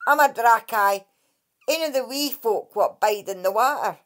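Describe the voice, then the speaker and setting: A woman's voice: a little high, expressive, moderate pace, natural voice. A woman voicing a tiny, ugly male water fairy with a Scottish accent, cheeky and scolding.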